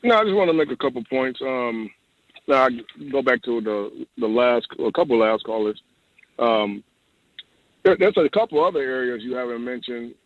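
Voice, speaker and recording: A man's voice: medium pitch, animated, normal speed, dry recording. A man from Ohio calling in to a radio show, talking in a hesitant way with lots of fillers. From Ohio, United States. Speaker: caller. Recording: dry, tinny phone line.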